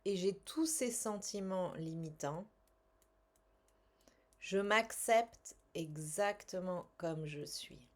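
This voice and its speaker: A woman coaching a guided tapping exercise in short, measured, instructional phrases with pauses and repeated statements. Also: fairly low pitch. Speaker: tapping coach and language teacher.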